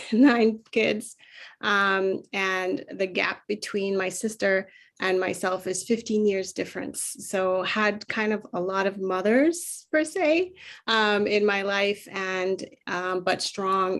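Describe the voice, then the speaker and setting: Reflective woman panelist, medium pitch. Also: gravelly, fairly bright tone. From Trinidad and Tobago, moved to the United States at twelve. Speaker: panelist, youth mentoring program leader. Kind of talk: reflective personal storytelling on a panel.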